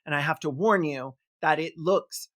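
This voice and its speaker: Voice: medium pitch, moderate pace, fairly bright tone. A video host delivering sarcastic scripted commentary in a theatrical, mocking manner. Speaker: host of a comedic film review.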